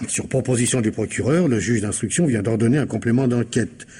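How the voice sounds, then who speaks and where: A man playing a stern police commissioner in a French radio mystery, formal and deliberate as he questions and warns. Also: medium pitch, mellow, breathy edge, close to an everyday voice. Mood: grave, warning.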